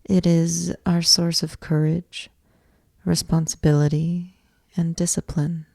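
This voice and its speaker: A woman teaching yoga nidra, guiding a meditation in measured, deliberate phrases with long pauses between them. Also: on the low side, little pitch movement, creaky, warm tone, no room sound, studio quality. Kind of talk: guided meditation, slow instructional narration.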